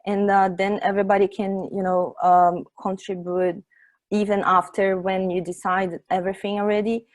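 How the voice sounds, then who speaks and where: A Brazilian-accented woman in her thirties gives a relaxed tech talk. She is chatty and conversational, with frequent filler pauses and "right?" tags, and sits in an ordinary middle pitch range for a woman. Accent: Brazilian Portuguese. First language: Portuguese. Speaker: tech meetup speaker. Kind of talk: informal tech presentation.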